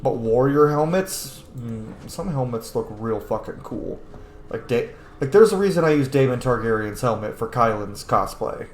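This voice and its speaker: A man's voice: mid-range voice, lively intonation, normal speed, slight background noise. A man giving casual, sweary commentary as he plays a video game. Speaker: gaming streamer. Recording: roomy echo, game music behind. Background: video game music.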